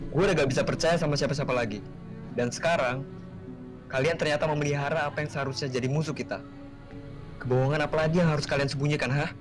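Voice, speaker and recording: A man's voice: on the high side, fairly even intonation, fast, lightly acted. A man playing a betrayed, distrustful villager in a Minecraft roleplay, speaking in an accusing, indignant way. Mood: bitter, betrayed. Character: betrayed, bitter, distrustful young male villager. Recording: music behind.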